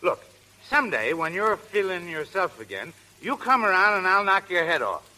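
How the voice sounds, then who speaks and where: An actor playing a tipsy, muddled gentleman who is rambling and genteel yet ready to fight, in 1930s radio comedy. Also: high-pitched, very animated, moderate pace, full character voice. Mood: politely muddled. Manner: rambling, drunkenly genteel, abruptly combative. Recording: crackling 1930s radio broadcast.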